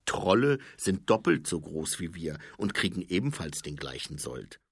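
A scruffy corporal soothes his sergeant in a low, quiet, husky murmur, as a man reading aloud plays him. The read is close and dry. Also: big pitch swings, moderate pace, no echo, full character voice. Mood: placating. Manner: soothing, subdued. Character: scruffy male corporal, placating, low-key.

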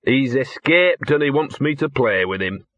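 A scruffy, cynical talking dog, as a male reader puts him on, grumbling in a world-weary way at a raised pitch. The voice is husky and the tape sounds dull and lo-fi. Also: very animated, normal speed, pushed and bright, no room sound, heavily acted. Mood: despondent.

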